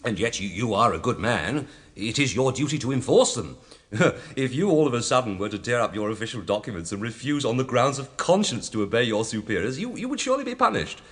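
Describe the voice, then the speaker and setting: An actor playing a timid, apologetic parish priest in a radio play, hesitant and rambling, easily flustered. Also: male voice, mid-range voice, very animated, fairly quick, close to an everyday voice. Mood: earnest. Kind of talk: radio drama acting.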